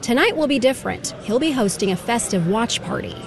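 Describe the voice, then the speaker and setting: A woman reporter narrating a scripted radio news story in a measured, even delivery. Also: on the high side, vocal fry, over music.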